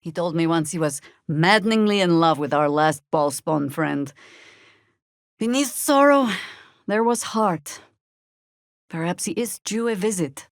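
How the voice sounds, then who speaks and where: An actress playing a seasoned, wry middle-aged female adventurer in a video game, speaking in a measured, dramatic way. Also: on the low side, animated, dry recording, clean studio sound. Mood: solemn. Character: seasoned, wry female adventurer, battle-worn mother and druid. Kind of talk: video game character dialogue and spell calls.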